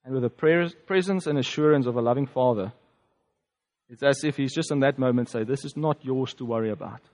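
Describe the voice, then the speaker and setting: A man's voice: medium pitch, darkish tone. South African man preaching in a reverberant hall. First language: Afrikaans. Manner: reflective, conversational, halting with fillers. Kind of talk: personal testimony sermon.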